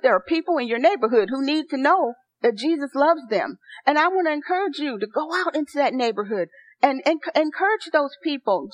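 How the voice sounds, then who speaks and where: Woman pastor, soft-spoken, higher voice, earnest sermon, dry dull recording. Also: no echo. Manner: earnest, building to excited exhortation.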